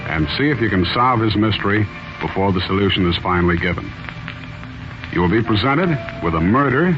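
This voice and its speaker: Muffled vintage radio announcer, American male, low pitch, theatrical mystery host with music. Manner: theatrical, addressing listeners directly. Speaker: mystery program host, styled as a sergeant. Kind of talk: 1940s radio announcing, scripted introduction.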